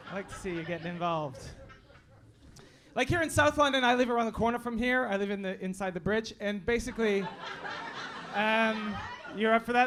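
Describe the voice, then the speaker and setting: A man's voice: high-pitched, noisy background. A Canadian man with a Canadian accent doing stand-up on a club stage, rambling and riffing with the audience. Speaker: stand-up comedian. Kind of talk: stand-up set with crowd work. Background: audience laughing.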